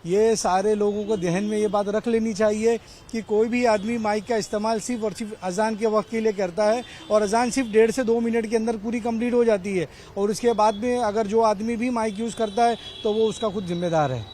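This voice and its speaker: A man with an Indian accent, a cleric, making a measured, courteous and formal statement in a street interview. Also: high voice, steady tone, dark tone. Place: outdoors on a city street. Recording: outdoor street, faint traffic noise.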